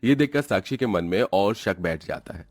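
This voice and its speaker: A man with a fairly low voice narrates a cartoon family story from a script. The recording is dry, with very little room sound. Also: expressive, normal speed, dry recording.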